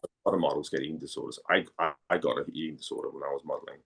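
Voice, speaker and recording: A man's voice: a bass voice, steady tone, rapid-fire, gravelly, fairly bright tone, husky. A man from Denmark speaking casually as an interview guest, often repeating words as he thinks his way through a point. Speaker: guest, actor and former model.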